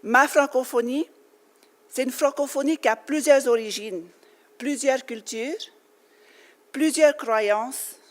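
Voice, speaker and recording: A woman's voice: high-pitched, slow, long pauses. A woman from French Polynesia giving an earnest personal speech to a conference audience, with light laughs and fillers. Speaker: conference speaker. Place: conference hall. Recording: long hall reverb.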